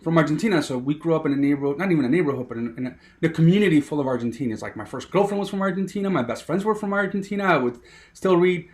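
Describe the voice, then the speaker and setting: A man's voice: fairly high pitch, expressive, very fast, a little mellow. A man in his thirties from Miami, a sports producer telling his story as a guest, eager and rambling with many fillers. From Miami, USA. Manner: eager, rambling, heavy on "you know" fillers. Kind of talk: casual interview storytelling.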